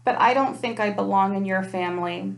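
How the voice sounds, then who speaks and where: A woman voicing a polite, gentle little male rabbit from a children's storybook. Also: medium pitch, brisk, creaky, natural voice. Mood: polite, apologetic. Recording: echoey room.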